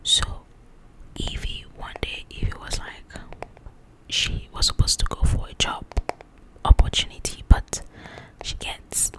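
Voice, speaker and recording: A woman hosts ASMR in a soft, breathy whisper with no voiced tone. Her talk is informal and chatty, wandering along as she addresses viewers directly. The delivery stays hushed, like a casual one-sided chat. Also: measured pace, long pauses, rough recording. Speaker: ASMR video host.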